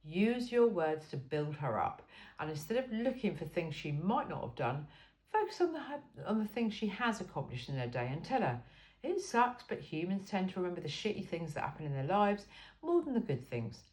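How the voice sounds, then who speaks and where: A woman's voice: fairly low pitch, big pitch swings, normal speed, darkish tone. A woman reading an informal self-help book aloud in an emphatic, cheeky and frank way. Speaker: amateur reader of a book aloud.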